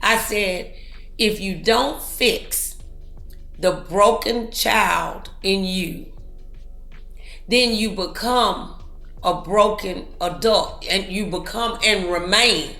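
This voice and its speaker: A woman's voice: mid-range voice, takes it slowly, sharp tone, roomy sound, faint music behind. A woman hosting a talk show, speaking in an encouraging, preachy way full of motivational sayings.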